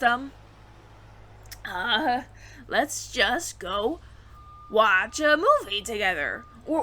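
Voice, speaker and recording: A woman's voice: on the high side, fairly bright tone, a little hiss or hum. A woman playing a nervous, evasive alien roommate in an audio roleplay, stammering and over-explaining to the listener.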